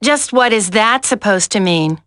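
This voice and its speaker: An actress playing a feisty, confident, sharp-tongued female soldier in a dramatic video game. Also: medium pitch, expressive, measured pace, smooth, no room sound, studio quality. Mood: proud, indignant.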